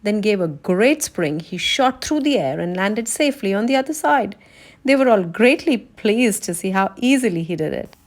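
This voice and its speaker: A woman's voice: mid-range voice, lively intonation, moderate pace. A woman narrating a children's novel as a read-aloud storyteller. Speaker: storybook reader.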